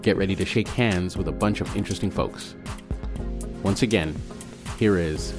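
A man's voice: low voice, normal speed. A man from Malawi whose first language is Chichewa, hosting a podcast in a scripted narration with playful asides. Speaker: podcast host. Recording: music bed under the voice.

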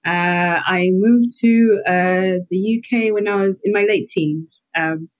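A woman from Malawi, a guest health psychologist who thinks aloud with pauses as she answers about herself. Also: medium pitch. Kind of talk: reflective personal interview answers.

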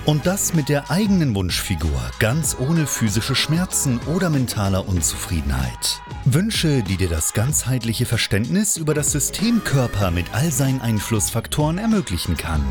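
A man's voice: medium pitch, wildly swooping pitch, moderate pace, few pauses. A man reading a scripted podcast intro with a sweeping, announcer-like delivery. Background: music bed.

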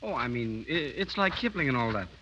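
An actor playing an eager, naive young British subaltern, boyish and polite, in a 1930s radio drama. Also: male voice, mid-range voice, big pitch swings, fairly quick, bright, forceful tone. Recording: dull, noisy vintage 1930s radio.

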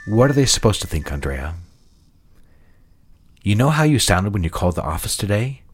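An actor playing a manipulative, condescending husband in a period audio drama, with a patronizing, coaxing way of talking. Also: male voice, on the low side, big pitch swings, moderate pace, slightly rough, faint music behind. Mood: stern reproach. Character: manipulative, condescending husband gaslighting his fragile wife.

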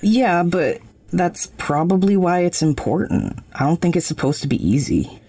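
An actress playing a frank, caring young friend, casual, tentative and supportive, in a naturalistic audio drama. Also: female voice, fairly low pitch, sing-song, moderate pace, warm tone, husky, dry recording, full character voice. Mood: affectionate, encouraging.

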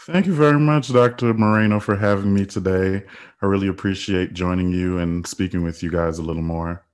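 A man from the Virgin Islands talks as a podcast guest. His voice sits very low. He speaks in a measured, earnest way and often pauses on "um.". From United States Virgin Islands. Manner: measured, earnest, with frequent "um" fillers. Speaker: guest, health policy analyst. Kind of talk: podcast interview.

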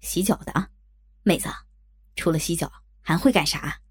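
A woman voicing coarse, leering working-class men with a gruff, colloquial, swearing manner. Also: fairly low pitch, moderate pace, voice pushed down. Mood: crude, leering.

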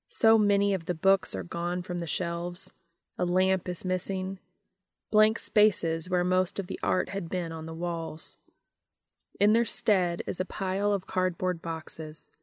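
Female narrator for fiction podcast, lower register, close dry sound, faint music underneath. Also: vocal fry, dry recording. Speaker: podcast host reading fan fiction aloud. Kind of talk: scripted audiobook-style narration.

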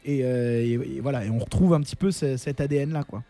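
Mellow-voiced hesitant musician guest. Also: male voice, mid-range voice. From France. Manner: thoughtful, hesitant, halting. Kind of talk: casual interview. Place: radio studio.

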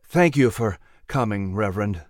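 A man voicing a quiet, subdued young man in a storybook reading. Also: fairly low pitch, expressive, normal speed, no echo, clean studio sound, voice pushed down, close to an everyday voice. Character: grieving, quiet young man rebuilding his home.

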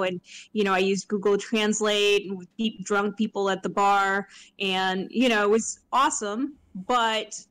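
A woman's voice: medium pitch, fairly bright tone. Thoughtful woman musing aloud. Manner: thoughtful, repeats words while thinking. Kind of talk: casual discussion.

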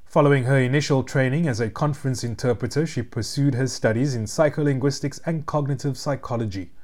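A man hosting an interview, first reading a guest introduction and then asking questions in a measured way. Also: medium pitch, a little mellow. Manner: measured, reads a prepared introduction. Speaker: interviewer, host.

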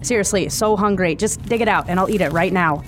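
An actress playing a wry young woman in audio fiction, with a deadpan, wordy way of talking. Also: medium pitch, brisk, soft background music, natural voice. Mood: droll, hungry.

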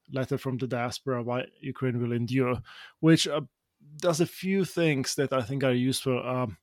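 Thoughtful, halting male podcast host. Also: medium pitch, a little mellow, no echo, studio quality. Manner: thoughtful, many restarts and hesitations. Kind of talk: conversational interviewing.

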